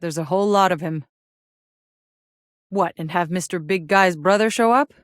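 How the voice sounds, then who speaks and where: Casting: actress for tough, wry woman soldier in a sci-fi game, deep register, gruff swagger, close dry studio sound. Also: normal speed, dry recording, lightly acted. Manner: gruff, sardonic, casual swagger. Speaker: video game voice actor. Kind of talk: video game voice lines.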